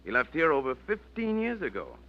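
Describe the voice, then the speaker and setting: An actor playing George, a calm, cautious man who reasons carefully, in a 1940s radio drama. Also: fairly high pitch. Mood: measured. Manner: measured and reasoning. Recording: dull vintage radio recording.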